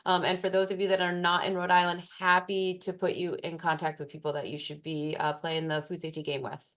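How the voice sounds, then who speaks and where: A woman's voice: fairly low pitch, level tone, a little fast, fairly bright tone. A woman, a food safety specialist, answering participants' questions in an online class in a casual way with many fillers.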